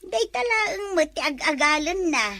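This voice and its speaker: A woman acting in a comic village drama, with an excitable, exclamatory way of talking. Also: very high-pitched, measured pace, dry recording. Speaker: comedy drama actor.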